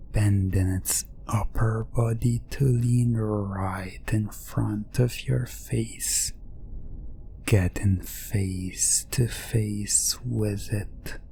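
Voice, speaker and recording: A man narrating a hypnotic ASMR story in the second person, breathy and often whispering, with long pauses and repeated phrases. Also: fairly low pitch, dark tone, a little hiss or hum.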